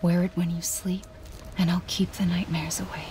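An actress playing a tender, soothing, mysterious woman in a video game, speaking in a breathy whisper. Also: on the low side, little pitch movement, normal speed, noisy background, natural voice. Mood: tender, hushed. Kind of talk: video game cutscene acting.

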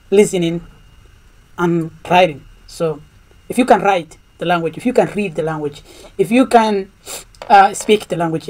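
A man's voice: sits high, darkish tone, poor audio. A young man, a native Somali speaker, explaining language skills in a casual outdoor vlog, talking outdoors into a phone camera. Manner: explanatory, lecturing, repeats points. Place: outdoors in a park.

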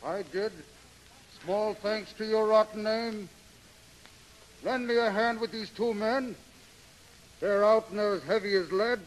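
An actor playing a brash, bossy shipwrecked sailor, gruff in manner, in a 1930s radio drama. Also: male voice, high-pitched, a little slow, long pauses. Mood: bossy, irritated. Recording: echoing, hissy 1930s radio recording.

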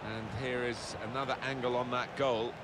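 A man giving play-by-play football commentary, building excitement whenever a chance opens up. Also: medium pitch, moderate pace. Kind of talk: lively match commentary. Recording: in-game commentary under loud game noise.

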